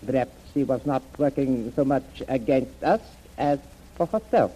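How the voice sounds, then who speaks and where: A man's voice: mid-range voice, normal speed, dark tone, close to an everyday voice. An actor playing a polite, cautious, suspicious man with hesitant, formal speech in an old-time radio adventure. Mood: musing. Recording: faintly noisy old radio recording.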